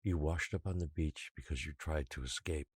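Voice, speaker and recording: An actor playing a patient, controlling guardian who secretly rules an island, speaking in a measured, quietly menacing way in an audio drama. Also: male voice, rumbling low, slightly rough, dry recording, clean studio sound. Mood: calm, controlling.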